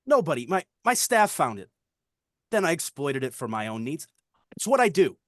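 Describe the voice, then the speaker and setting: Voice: medium pitch, sing-song, moderate pace, dry recording, clean studio sound, natural voice. An actor playing a desperate captive who pleads under threat at a screenplay table read. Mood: defensive.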